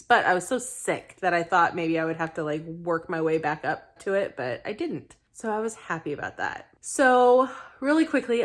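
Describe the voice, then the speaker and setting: A woman in her thirties talks casually to her viewers in a slightly low voice that is still raspy from a lingering illness. She is chatty and self-deprecating, laughing at herself often. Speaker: vlogger. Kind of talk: casual weight-loss vlog to camera.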